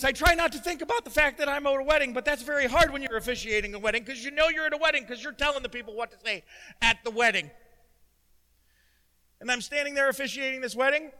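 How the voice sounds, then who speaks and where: A middle-aged man preaching a sermon as pastor, loud and emotional, with self-deprecating humor. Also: very high-pitched. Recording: long hall reverb.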